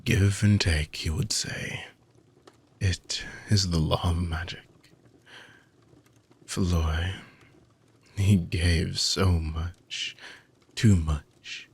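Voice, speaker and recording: Male actor as weary villainous mage, deep voice, gravelly creak, obsessive, dramatic pauses, fantasy roleplay monologue. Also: fairly even intonation, full character voice. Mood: mournful, resigned. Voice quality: husky, gravelly, breathy. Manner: slow, theatrical, dramatic pauses and sighs. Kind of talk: fantasy audio roleplay monologue addressed to listener.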